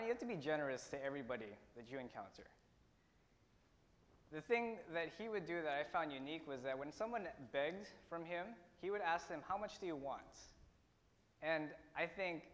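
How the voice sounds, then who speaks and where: A teenage man from India with a slightly high voice tells his story earnestly on a stage. He pauses and restarts now and then. His voice carries a long hall reverb. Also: fairly bright tone. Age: teens. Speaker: student speaker. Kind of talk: prepared personal talk. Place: auditorium stage.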